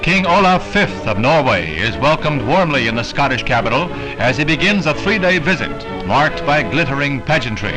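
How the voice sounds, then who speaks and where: A man's voice: mid-range voice, sing-song, normal speed, few pauses, rough recording. An American man narrating a scripted 1960s newsreel in a polished, declamatory announcer style. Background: prominent background music.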